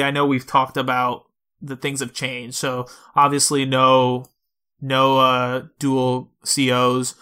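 A man's voice: mid-range voice, sharp tone, clear, resonant tone. A man co-hosting a podcast, talking through video games in a conversational, reasoned way with asides. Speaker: podcast co-host. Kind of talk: casual video game discussion.

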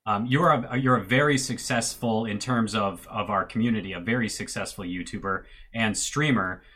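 Brief: male co-host, bright and forceful, talking over a call with long looping questions. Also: lowish voice, normal speed. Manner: long winding questions with restarts. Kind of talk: casual interview chat.

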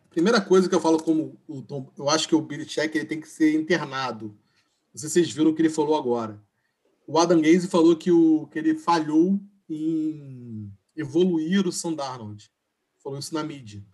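A Brazilian man hosts a casual sports discussion in a rough, husky voice that sits fairly high. He moderates bluntly, with joking and sweary informality. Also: darkish tone. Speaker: sports podcast host. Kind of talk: casual moderating and game chat.

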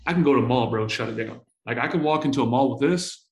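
A man in his forties telling stories in a casual interview, full of slang and swearing, excitable and often repeating himself. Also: medium pitch, very fast, reverberant. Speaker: entrepreneur guest.

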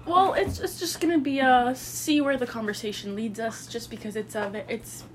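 A young woman co-hosting a casual chat, talking with lots of "like" and clearing her throat often. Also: a little high, steady tone, normal speed, noisy background. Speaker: podcast co-host.

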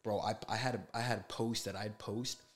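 A man's voice: fairly low pitch, fairly even intonation, a little fast. A man in his twenties, a guest on a casual podcast, talking in a laid-back, slangy way and growing emphatic when he makes a point. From USA. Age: 20s.

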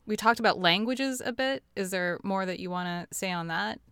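A woman's voice: mid-range voice, moderate pace, a bit bright, clean tone, no room sound, clean studio sound. A woman hosting a casual interview chat, prompting her guests in a friendly way. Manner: friendly prompting, laughs often. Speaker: podcast host.

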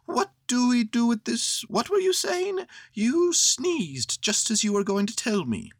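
A woman voicing a humble, dreamy bear of little brain in a storybook, plaintive, polite and slow-witted. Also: fairly high pitch, sing-song, dry recording, studio quality, voice pushed up, full character voice. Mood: puzzled, insistent.

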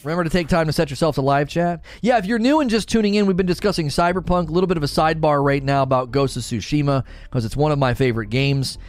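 A man's voice: on the high side, fast, hardly any pauses, no room sound, clean studio sound, faint music behind. Rambling, enthusiastic male streamer host. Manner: enthusiastic, rambling, repeats himself. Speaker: livestream talk show host. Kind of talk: casual livestream chat reading viewer comments.

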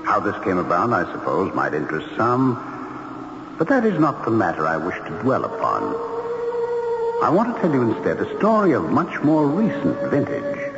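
A man's voice: on the low side, heavily acted. An actor playing a formal, wistful male ghost, a century dead, narrating a radio drama in old-fashioned theatrical phrasing. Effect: long ghostly reverb. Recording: dull radio recording, echoing, loud music.

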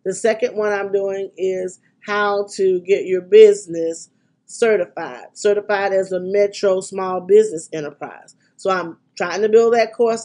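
Congested, chatty woman podcast host. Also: mid-range voice. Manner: chatty and excitable, with frequent ums. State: congested from a cold. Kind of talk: casual podcast chat.

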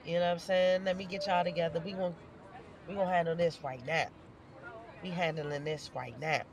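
Stuffy-nosed host talking in a car. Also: mid-range voice. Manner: rambling, profane, playful. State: stuffy nose. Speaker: podcast host. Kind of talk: casual solo podcast monologue. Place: inside a parked car at night. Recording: music playing behind the voice.

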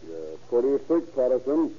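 A man's voice: medium pitch, very animated, moderate pace, dark tone, slightly rough. An actor playing a stern, taunting lawman interrogating a prisoner in a 1930s radio crime drama. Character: stern lawman pressing a fugitive for a confession. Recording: tinny, muffled 1930s radio recording.

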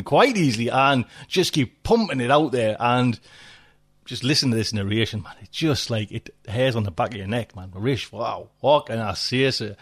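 Rambling, enthusiastic podcast host. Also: male voice, medium pitch, breathy edge. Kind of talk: casual show introduction.

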